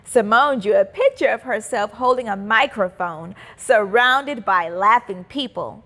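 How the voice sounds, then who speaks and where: A woman reading a children's storybook aloud as an expressive, deliberate narrator. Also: a little high. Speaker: children's book reader and host.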